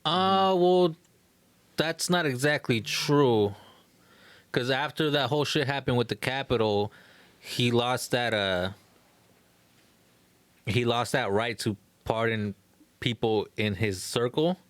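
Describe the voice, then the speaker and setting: A man's voice: medium pitch, slow, pauses often, fairly bright tone, no room sound. A young man co-hosting a podcast in casual, slangy conversation. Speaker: podcast co-host.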